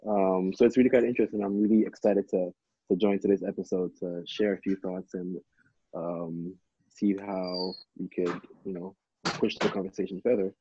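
Male podcast guest, deep and husky, measured with hesitant phrasing, dull close-up lo-fi sound. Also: flat delivery, mellow, no room sound. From Ghana. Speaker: podcast guest, startup CTO. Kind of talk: conversational self-introduction. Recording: dull lo-fi, dry recording.

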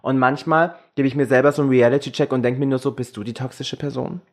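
Junger Mann, locker und offenherzig plaudernd. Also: male voice, mid-range voice, fairly even intonation, fast talker, a little mellow. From Germany. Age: young adult. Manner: mixes English slang into German. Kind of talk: casual, candid group chat.

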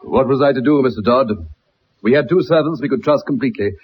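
A man's voice: medium pitch, fast talker, a little hiss or hum, close to an everyday voice. An actor playing a stern, proud older colonel and protective father, grave and emphatic, in a 1960s radio play. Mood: pleading, defensive. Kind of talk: 1960s radio drama acting. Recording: muffled, dull old radio recording.

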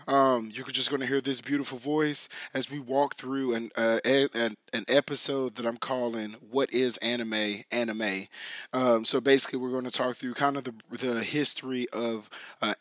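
A man with a hoarse, raspy voice hosts a solo podcast, rambling casually with plenty of fillers and restarts. His mid-pitched voice sits close to the mic in a dry, dead-sounding recording. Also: a bit bright, dry recording. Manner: loose and chatty, many fillers and restarts. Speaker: podcast host.

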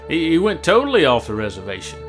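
An actor playing a jaded, teasing rock radio station colleague with halting, slangy talk in a horror audio drama. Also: male voice, on the high side, wildly swooping pitch, a bit bright, soft background music. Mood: gossipy, amused.

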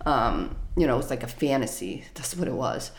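A young adult woman telling her life story in an interview, soft-spoken and hesitant, with frequent fillers. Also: extremely low pitch, very animated, brisk. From United States.

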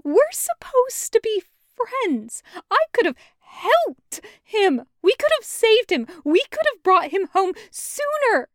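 A woman voicing a furious, hurt woman confronting a friend, with forceful, sweary, accusing outbursts in an audiobook. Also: very high-pitched, sing-song, slow, hardly any pauses, no echo, studio quality, voice pushed up, heavily acted. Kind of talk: audiobook character acting.

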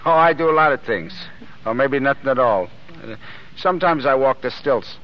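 Casting: actor for gruff, independent Depression-era American drifter, medium pitch, slangy, old radio drama, dull sound. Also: male voice, expressive, moderate pace, lightly acted. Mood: breezy. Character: tough, footloose, wisecracking Depression drifter with hidden soft heart. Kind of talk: 1930s American radio acting. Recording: muffled, hissy old radio recording.

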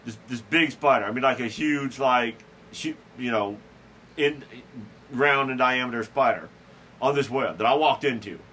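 Bright-voiced man telling a rambling story. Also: medium pitch, normal speed, a little hiss or hum. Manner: rambling, self-deprecating, chuckles through stories; frequent restarts and fillers. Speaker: podcast host. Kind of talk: casual storytelling chat.

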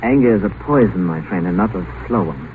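An actor playing a cool, domineering physician in an old radio drama, precise, condescending and taunting. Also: male voice, sits low, expressive, a little fast. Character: cool, sardonic, domineering physician to a rich man. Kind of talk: 1950 radio drama acting. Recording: noisy, tinny old radio broadcast.

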